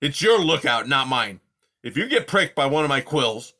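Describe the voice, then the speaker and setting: A man's voice: mid-range voice, big pitch swings, moderate pace, sharp tone, pitched above the performer's own voice, heavily acted. A man voicing a grumpy, scornful old male porcupine who growls and insults, in a storybook reading. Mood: scornful gruff.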